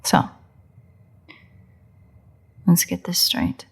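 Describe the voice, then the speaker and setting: A woman playing a sardonic, teasing female vampire in a roleplay audio drama, with a husky, breathy, gravelly voice and a sarcastic, exasperated manner. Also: very slow. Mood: resigned. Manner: sarcastic, mocking, swearing, dry exasperation. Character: sardonic female vampire, teasing, crude, grudging toward a hunter. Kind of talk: roleplay audio drama, intimate monologue to the listener.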